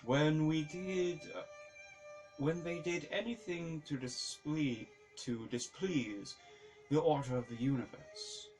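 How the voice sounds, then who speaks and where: A man reading a story aloud as narrator, halting and often repeating phrases as he goes. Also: a little high, darkish tone, soft background music, poor audio. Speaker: reader, narrator.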